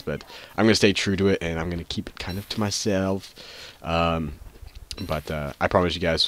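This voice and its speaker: A man with a nasal, congested voice from a cold, giving rambling, casual commentary while playing a game. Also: low-pitched. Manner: rambling, full of ums and restarts. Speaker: gaming channel host.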